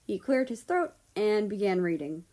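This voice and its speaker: A woman's voice: mid-range voice, big pitch swings, normal speed, dark tone. A woman narrating a scripted story as a reader of fan fiction.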